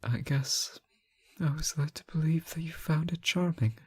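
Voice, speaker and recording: A man playing a lovesick, anguished captive ruler in a fantasy romance audio drama, halting and often dropping toward a whisper. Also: on the high side, a little mellow, breathy edge. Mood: hurt, wistful. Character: captive reckless but benevolent male ruler, lovesick and anguished. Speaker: audio roleplay performer.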